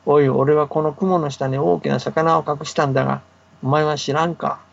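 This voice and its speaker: A man voicing a gluttonous, greedy crow who blusters and barks his demands in a gravelly, fairly high voice. The sound is muffled, as if it comes over a remote line, and it is told like a storybook folktale. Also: fairly even intonation, moderate pace. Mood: angry. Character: greedy, gluttonous male crow, quick to anger.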